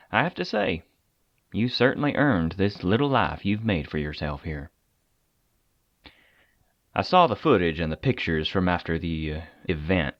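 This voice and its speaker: Guy acting a tired agency man talking someone round, audio drama, dry slightly muffled sound, dramatic pauses. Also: deep voice, no room sound. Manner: conversational, deliberate, with dramatic pauses. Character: weary, persuasive male agent of a secret containment agency.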